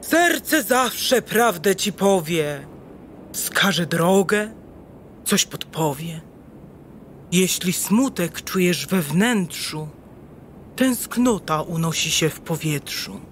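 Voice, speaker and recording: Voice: mid-range voice, expressive, very slow, fairly bright tone, a little hiss or hum. A performer voicing a wise, kindly old owl in a children's radio play, giving soothing advice. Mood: advising.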